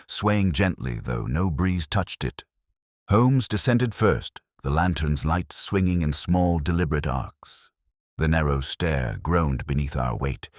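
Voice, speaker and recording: Very deep-voiced male mystery narrator. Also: dry recording, clean studio sound. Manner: measured, solemn delivery in short phrases. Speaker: storyteller narrator. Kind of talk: scripted dramatic mystery audiobook narration.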